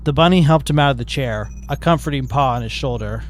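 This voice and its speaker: A man's voice: medium pitch, a little fast, a little hiss or hum. A man narrating a fan fiction story in a plain, matter-of-fact way.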